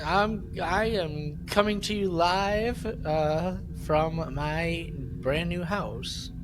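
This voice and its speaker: A man's voice: fairly high pitch, very slow, music in the background. A man chatting casually as a podcast panelist, telling personal stories in a rambling, conversational way. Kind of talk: casual chat, personal storytelling.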